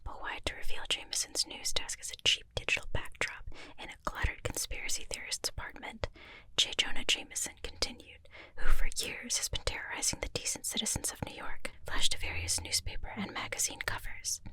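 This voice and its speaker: A woman whispering an ASMR reading from inside a small closet, breathy and unvoiced, with self-conscious rambling asides. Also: brisk, pushed and bright. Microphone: home mic, headphones on.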